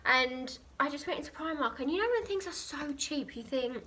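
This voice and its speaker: A young British woman chatting casually and telling an anecdote in a giggly, animated way. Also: sits high, normal speed, slight background noise. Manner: giggly, animated storytelling with acted quotes.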